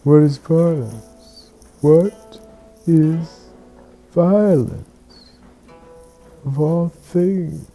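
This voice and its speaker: A person telling a reflective bedtime story in a slow, halting, musing way, often repeating words. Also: medium pitch, creaky, mellow, soft background music.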